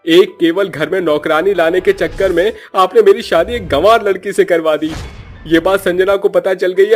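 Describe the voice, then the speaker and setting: A man's voice: high voice, pitched above the performer's own voice. A man voicing a selfish, hot-headed young son and bridegroom in a melodramatic, emphatic way for a cartoon story.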